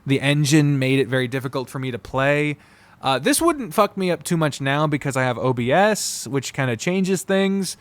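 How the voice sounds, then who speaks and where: A man giving an unscripted, casual review, blunt, profane and opinionated, often halting, digressing and trailing off mid-sentence. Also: on the high side, lively intonation, no room sound. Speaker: visual novel reviewer.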